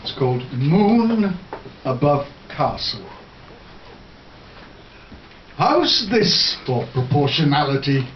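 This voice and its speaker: A man reading poetry live in a theatrical, deliberate way, recorded at a distance in a bar. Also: fairly high pitch, sing-song. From Wales. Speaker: poet. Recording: roomy, distant, muffled, faint noise.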